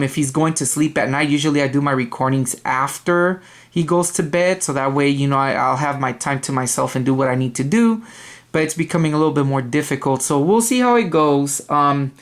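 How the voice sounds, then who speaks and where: Rambling young man with a loud voice. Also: on the high side. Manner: rambling, many ums and restarts. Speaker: video game collector vlogger. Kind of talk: casual rambling vlog talk. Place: apartment dining room at night.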